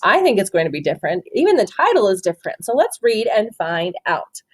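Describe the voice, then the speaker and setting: A woman doing an interactive picture-book read-aloud for children, expressive and often asking questions. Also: mid-range voice, husky. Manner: expressive, asks questions and pauses for answers. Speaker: storytime reader, teacher.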